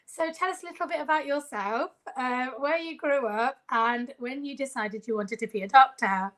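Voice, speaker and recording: A woman's voice: high-pitched, moderate pace, a bit bright. Upbeat woman hosting a video call. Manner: friendly, upbeat, laughs often. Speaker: host. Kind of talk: live-streamed children's lesson interview. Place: home room.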